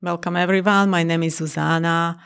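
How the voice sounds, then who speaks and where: A woman's voice: lowish voice, fairly even intonation, fairly quick, creaky, a bit bright, clean tone. A woman from Slovakia with a Slovak accent, talking as an interview guest about her teaching, hesitant with many fillers. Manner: hesitant with fillers, laughs often. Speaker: guest, Tai Chi and Qigong teacher. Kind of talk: casual interview talk.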